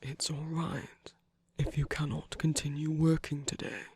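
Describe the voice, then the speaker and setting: A man playing a tender but commanding villain in a romantic ASMR roleplay, speaking softly and breathily with measured pauses, often dropping to a whisper. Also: fairly high pitch, level tone, gravelly, breathy edge, exaggerated voice. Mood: gentle, earnest. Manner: slow, gentle, measured, with deliberate pauses. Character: tender, commanding male villain comforting his lover.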